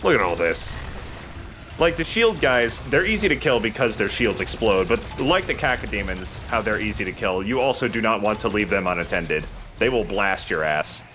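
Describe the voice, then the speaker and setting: A man's voice: lowish voice, expressive, moderate pace, a bit bright. A man giving casual, conversational gaming commentary as a let's play host, swearing now and then. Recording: faint background noise.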